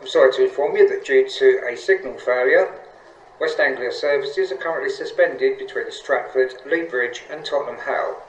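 A man with an English accent reading a formal, scripted service announcement for rail passengers. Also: medium pitch. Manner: formal, measured apology wording. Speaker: railway service disruption announcer. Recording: echoey, distant tannoy with faint noise. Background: faint station ambience.

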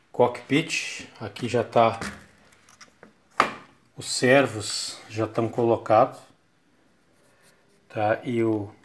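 Man, Brazilian Portuguese accent, middle-aged, channel host, casual rambling walkthrough, slow delivery, phone camera, dim home workshop. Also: medium pitch. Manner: slow, rambling, with frequent restarts and filler tags. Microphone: handheld phone camera.

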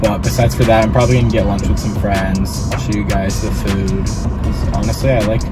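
A man's voice: lowish voice, a little fast, over loud music. Young man vlogging from his car. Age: young adult. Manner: relaxed, chatty, talks straight to camera. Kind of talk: casual vlog chat. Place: inside a parked car.

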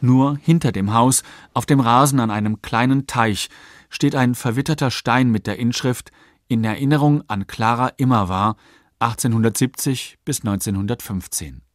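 A man reading scripted documentary narration in a factual, measured manner. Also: medium pitch, no echo, studio quality.

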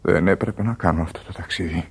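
An actor playing a weary, feverish dying sailor in a Greek radio drama, halting and drifting toward whispers, full of regret. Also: male voice, low voice, gravelly, breathy edge, heavily acted. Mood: hazy nostalgia. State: weak, in pain. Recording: radio play with music underneath. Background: music.

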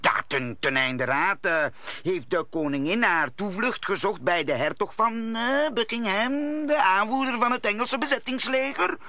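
An actor playing a fretful landlord and husband in a radio play, nervous and halting, stammering as he speaks. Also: high voice, expressive, bright, forceful tone, no echo, exaggerated voice. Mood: nervous, confiding. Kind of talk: Dutch radio play acting.

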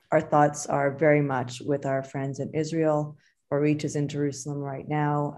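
A woman with a low voice opens an online event with solemn remarks. She speaks earnestly and pauses on hesitant fillers. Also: mellow. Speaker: event organizer. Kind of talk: formal opening remarks.

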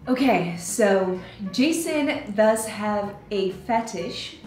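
A playful young woman, speaking English as a later language and giggling as she chats with her partner. Her voice sits at an ordinary middle pitch. She is recorded in an echoey office, with faint music under the talk. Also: animated, very slow, darkish tone. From Slovakia. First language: Hungarian. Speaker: co-host, real estate agent. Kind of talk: casual chat. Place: office with desk and orchids, indoors.

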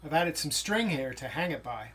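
Relaxed middle-aged man explaining his gear. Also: on the high side, normal speed, a little hiss or hum. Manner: relaxed, plain explaining with fillers. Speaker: vlogger. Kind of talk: casual show-and-tell walkthrough. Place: small basement utility room.

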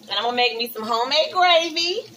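A Southern woman in her thirties talks to her viewers while cooking in a small home kitchen, calling them y'all and honey. Her voice is bright and a little high. The camera sits on the counter, and faint kitchen sounds like a running tap come through behind her. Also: quick pace, a little hiss or hum. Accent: Southern American. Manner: chatty and excitable, calls viewers y'all and honey. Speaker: vlogger. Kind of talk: casual cook-along chat. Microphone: phone or camera set on the counter, she moves around it.

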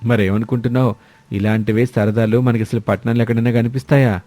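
A man voicing a fond, encouraging young village-born husband in an animated moral story, over music. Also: mid-range voice, quick pace, dry recording, lightly acted. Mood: cheerful.

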